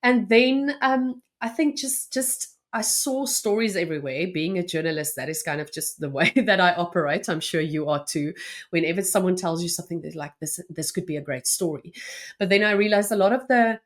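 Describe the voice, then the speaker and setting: A woman's voice: fairly low pitch, moderate pace. A woman from South Africa, an Afrikaans native speaker with a South African accent, answering interview questions earnestly with frequent restarts. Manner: earnest, with restarts and soft laughs. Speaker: podcast guest.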